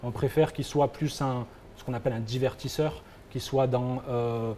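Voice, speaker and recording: Hesitant young man with a mellow voice. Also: mid-range voice, steady tone, normal speed, a little hiss or hum. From France. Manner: hesitant, many fillers and restarts. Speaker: guest, founder of a football agency. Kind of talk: interview answers.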